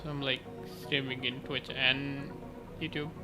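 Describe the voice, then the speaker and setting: A man's voice: medium pitch, little pitch movement, unhurried, over music. A man doing casual live game commentary as a streamer, musing informally and reacting to the story.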